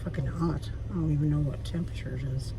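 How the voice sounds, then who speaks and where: A woman with a raspy voice, chatting frankly to her viewers while driving, swearing freely. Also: deep voice, steady tone, quick pace, distant mic. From Canada. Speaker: vlogger. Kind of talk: casual chat while driving. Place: inside a car. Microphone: phone or camera mounted in the car. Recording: car and road noise behind.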